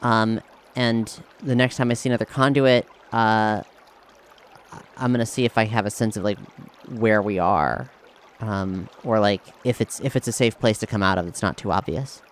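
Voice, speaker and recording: A man playing a tabletop role-playing game, thinking aloud haltingly as he improvises his character's moves. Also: on the low side. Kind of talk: improvised in-character play and narration. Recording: steady noise behind the voice.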